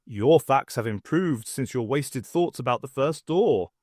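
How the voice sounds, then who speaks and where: A man's voice: medium pitch, lively intonation, unhurried, darkish tone, no echo, clean studio sound. Pompous alien commander, done by a male narrator: formal, clipped, imperious storybook villain. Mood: approving, imperious. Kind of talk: audiobook character voice.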